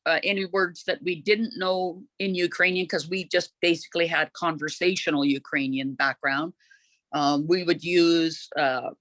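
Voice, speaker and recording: A volunteer host speaks informally at a community meeting, at a middling pitch. The talk is halting, with frequent pauses to search for words. The sound is dry, with no room echo. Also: bright, forceful tone.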